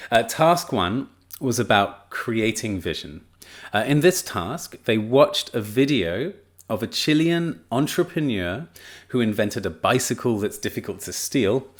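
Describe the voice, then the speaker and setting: A man's voice: medium pitch, sing-song, a little slow. A man presenting a research study in a casual spoken lecture, chatty and enthusiastic, with frequent fillers and restarts. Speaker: teacher trainer presenting a study.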